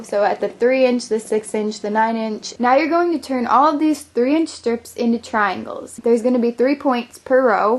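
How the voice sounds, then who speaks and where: A young woman hosting a craft tutorial, explaining each step in a chatty, upbeat way while she is losing her voice. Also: on the high side. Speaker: craft channel host.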